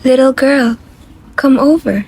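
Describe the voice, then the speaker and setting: A woman as a high, mysterious ghostly voice. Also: unhurried, darkish tone. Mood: beckoning. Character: ghostly beckoning voice, mysterious and urgent. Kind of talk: puppet show storytelling. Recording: clearly noisy recording with ambience.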